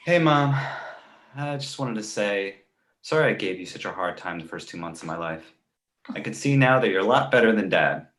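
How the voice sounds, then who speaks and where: A man playing a precocious, sarcastic baby boy with a dry, mock-innocent way of needling, in an animated comedy table read. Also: fairly low pitch, normal speed. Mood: dry, curious. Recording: echoey room.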